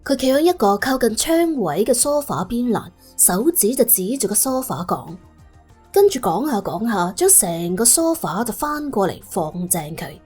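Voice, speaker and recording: A woman's voice: on the high side, very animated, normal speed, a little mellow, breathy edge, faint music behind. A woman with a Cantonese accent narrating a story in a colloquial, dramatic storytelling manner. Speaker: audiobook narrator.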